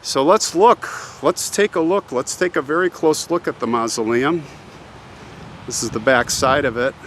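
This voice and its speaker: A man hosts an on-location history video with dramatic, suspenseful storytelling. He takes his time, speaking slowly at a medium pitch and repeating phrases for effect. He is recorded outdoors, with faint ambient noise behind him. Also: a bit bright. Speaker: host of a cemetery history video channel. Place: outdoors, walking in a cemetery.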